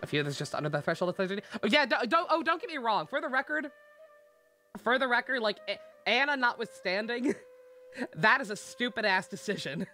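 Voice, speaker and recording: A woman's voice: fairly high pitch, lively intonation, a little fast, sharp tone. A woman game streamer giving casual, sweary live commentary in a sarcastic, ranting manner. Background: game music.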